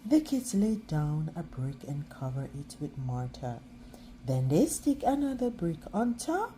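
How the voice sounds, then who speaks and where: A woman reading a children's picture book aloud as a storyteller, expressive and now and then halting over words. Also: low voice, a little hiss or hum.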